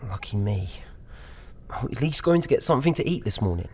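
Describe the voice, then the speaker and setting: A man's voice: medium pitch, animated, fairly quick, a little mellow, breathy edge. A man playing a sarcastic, exasperated young prisoner in an audio drama, recorded with long reverb. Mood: grumbling. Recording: long hall-like cell reverb.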